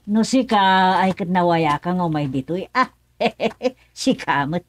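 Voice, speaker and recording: A woman's voice: lowish voice, lively intonation, a little slow, no echo. Woman acting a good-natured chatty mother, dry sound. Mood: cheerful, explaining. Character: good-natured, hardworking mother who grows mushrooms. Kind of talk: radio drama acting.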